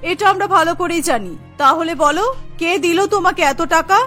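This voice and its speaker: A woman's voice: very high voice, moderate pace, sharp tone, soft background music. A woman voicing a stern, suspicious older mother-in-law who scolds and accuses in a cartoon. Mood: accusing. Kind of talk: Bengali cartoon voice acting.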